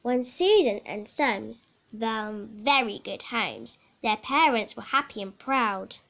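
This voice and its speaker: A woman reading a children's picture book aloud in a theatrical, measured way, with dramatic emphasis. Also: fairly high pitch, animated, fairly bright tone. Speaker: story reader.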